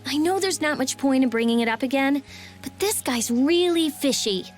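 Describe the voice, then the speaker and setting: Video game role: a sharp-tongued young girl, high and animated, curt and scornful, music behind. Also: normal speed. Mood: suspicious.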